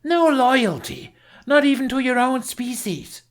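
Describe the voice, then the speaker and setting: Guy doing a fiery young viking warrior woman for an audiobook, high-pitched, indignant, accusing and emphatic. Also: animated, a little slow. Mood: outraged. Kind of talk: audiobook character acting.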